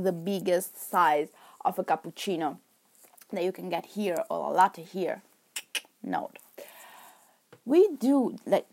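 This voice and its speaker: Young Italian woman vlogger, lowish voice, rambling chatty delivery, accented English. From Italy. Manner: chatty and rambling, with self-corrections and laughs. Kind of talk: casual chat to camera.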